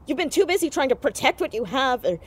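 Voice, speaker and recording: A woman's voice: high voice, very animated, fast talker, no echo. A woman playing a headstrong teenage girl investigator in an audio drama, urgent and confrontational. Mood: angry, demanding.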